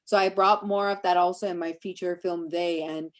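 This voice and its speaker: A woman's voice: fairly low pitch, fairly even intonation, normal speed. A woman from Iran, a native Persian speaker, talking reflectively and conversationally about her work as a guest speaker, searching for her phrasing as she goes.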